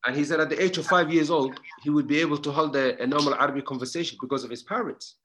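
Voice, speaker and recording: Fast-talking man giving earnest advice. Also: a little high, fairly bright tone, slight background noise, poor audio. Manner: earnest, advice-giving, repeats words for emphasis; tells anecdotes with many quoted lines. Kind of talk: casual conversation.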